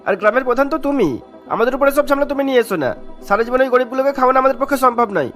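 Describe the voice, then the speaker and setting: A woman's voice: sits high, expressive, rapid-fire, faint music behind. A woman voicing a selfish, scornful grown son of a village headman in a cartoon, argumentative and dismissive. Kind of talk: animated moral-tale dialogue.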